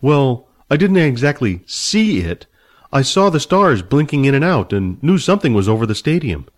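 A man's voice: medium pitch, big pitch swings, moderate pace, pitched above the performer's own voice, close to an everyday voice. A man doing an earnest young barbarian. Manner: plain, earnest and straightforward. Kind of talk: storybook reading.

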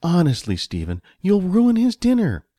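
Man as storybook mother, raised high voice, fussy, chiding, cooing, soft-hearted. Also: huge pitch swings, normal speed, dry recording, clean studio sound, heavily acted. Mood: exasperated.

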